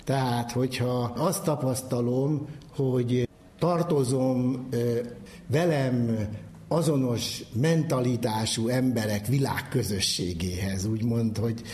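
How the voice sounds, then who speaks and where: Halting male academic, mid-pitched voice. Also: a little mellow. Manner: halting, searching for words. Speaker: academic expert. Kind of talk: unscripted expert explanation.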